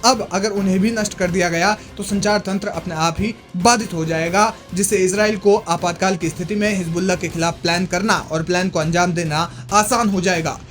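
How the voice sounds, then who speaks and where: High-pitched male news presenter over music. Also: steady tone, a little fast, hardly any pauses. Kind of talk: scripted news narration. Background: background music.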